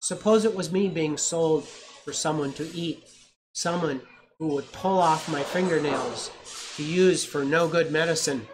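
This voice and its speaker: A reader voicing a young girl who begs loudly to save an animal in a storybook. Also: mid-range voice, a little slow, background noise, lightly acted. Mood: pleading. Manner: pleading, raised and urgent.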